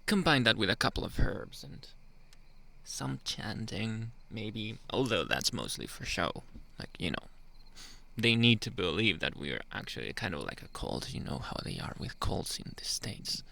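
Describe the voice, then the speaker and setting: Slow, gravelly man playing a flirty werewolf. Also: lowish voice, very animated, pushed and bright, heavily acted. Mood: seductive, coaxing. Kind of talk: ASMR roleplay monologue.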